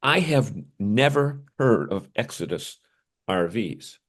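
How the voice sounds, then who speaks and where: Lowish-voiced American man in casual discussion. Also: animated, takes it slowly, fairly bright tone. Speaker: co-host of an RV news show. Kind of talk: casual news chat.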